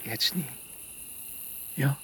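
Grieving withdrawn man, slow terse speech, subdued, radio play, faint chirping night ambience. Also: pushed and bright, a little hiss or hum. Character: grieving, withdrawn man wanting to be left alone. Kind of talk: quiet radio drama dialogue.

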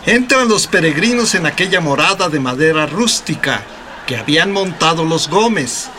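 A man's voice: a little high, animated, moderate pace, background noise. A man with a Mexican accent narrating a scripted radio drama.

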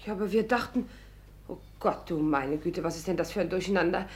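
An actress playing an impulsive, excitable young woman with a dramatic manner in a 1950s German radio play. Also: fairly low pitch, fairly quick, lightly acted. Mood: agitated.

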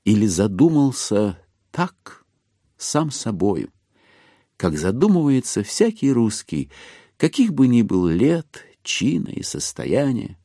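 A man narrating a literary audio drama as a storyteller, with measured, expressive phrasing. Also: lowish voice, vocal fry, slightly rough, no echo, clean studio sound.

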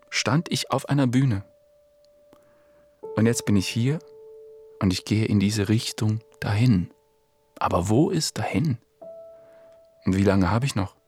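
A man's voice: fairly low pitch, darkish tone. A man in his forties playing a man who faces death, speaking a reflective, anguished monologue in an audio drama. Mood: fearful, searching. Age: 40s. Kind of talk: theatrical audio drama monologue over music.

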